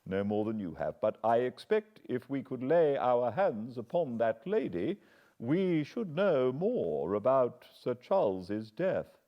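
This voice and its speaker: A man's voice: mid-range voice, sing-song, voice pushed up. Guy putting on a polite british butler, apologetic and earnest, kinda dull lo-fi sound. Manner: respectful, measured, apologetic. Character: deferential middle-aged male butler, earnest.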